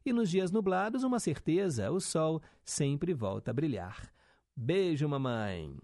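A man's voice: fairly high pitch, very animated, a little slow, darkish tone, no room sound, clean studio sound. A young male radio host, chatting casually and reading listener messages with upbeat teasing asides.

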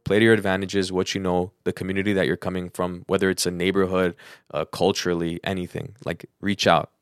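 A man's voice: low voice, flat delivery, brisk, clear, resonant tone, dry recording, studio quality. A man in casual podcast chat, explaining things informally with plenty of everyday examples. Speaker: podcast co-host, freelance audio engineer.

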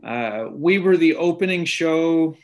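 A young man who stammers, repeating words and breaking off syllables, telling stories in relaxed casual conversation. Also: fairly high pitch, takes it slowly. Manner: relaxed storytelling with frequent fillers.

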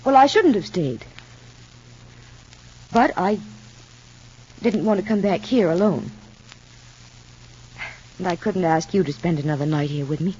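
A woman's voice: on the low side, expressive, measured pace, pauses often, a little mellow, a little hiss or hum. An actress playing an anxious, devoted mother in an old radio soap opera, earnest and brooding. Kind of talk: 1940s-50s radio soap opera acting. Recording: muffled, dull old radio recording.

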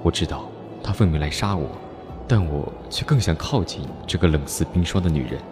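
A man acting a cheerful, persistent young martial-arts hero in a radio drama, with a teasing, expressive delivery. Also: sits low, fairly quick. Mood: reflective. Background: music score underneath.

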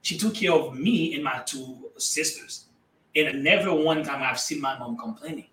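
A man from Haiti whose first language is Haitian Creole, giving emphatic, energetic motivational answers as a guest in an interview. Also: fairly high pitch, moderate pace, roomy sound, far from the mic, low-quality recording. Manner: energetic, emphatic, addresses listeners as guys. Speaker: guest entrepreneur.

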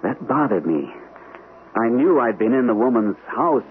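An actor playing an anxious, hesitant army captain recovering from shell shock in an old-time radio drama. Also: male voice, mid-range voice, very animated, natural voice. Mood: troubled narration. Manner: hesitant, halting, nervous. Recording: muffled, narrow-band, noisy old radio recording.

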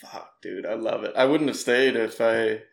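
Laid-back young Canadian guy, gravelly voice, chatty and loose, in an echoey room. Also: on the low side, moderate pace. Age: young adult. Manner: laid-back, laughs often, lots of fillers. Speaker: podcast guest, comedian and actor. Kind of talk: casual podcast chat.

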